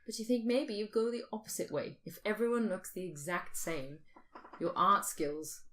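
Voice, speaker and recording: A woman's voice: mid-range voice, normal speed, fairly bright tone, a little hiss or hum. A woman podcast co-host in casual speculative discussion, thinking aloud and often posing questions.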